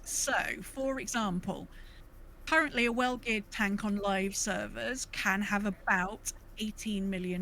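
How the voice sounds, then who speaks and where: Woman, medium pitch, podcast co-host, casual discussion from notes, noticeable background noise. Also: a bit bright, poor audio.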